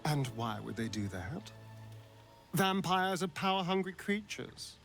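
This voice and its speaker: Theatrical, swooping vampire. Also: male voice, a little high, very animated, unhurried, soft background music, full character voice. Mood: sly, explanatory. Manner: arch, dramatic, swinging from mocking to hushed. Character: sardonic, theatrical male vampire spawn, centuries old. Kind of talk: video game character dialogue.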